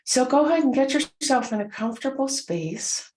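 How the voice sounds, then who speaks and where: A woman leading a guided meditation, gently instructing in short, soothing phrases with unhurried pauses. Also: sits high, expressive, slight background noise, low-quality recording.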